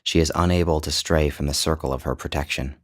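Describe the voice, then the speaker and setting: A man narrating his own literary short story in a measured, descriptive storytelling manner. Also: a bass voice, steady tone. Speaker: author and narrator.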